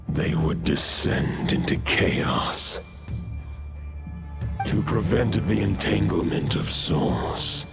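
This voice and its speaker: Ancient wolf spirit king for a fantasy game: very low, slow, solemn, formal speech, loud music underneath. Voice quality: gravelly. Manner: solemn, formal, archaic phrasing. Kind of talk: video game voice acting.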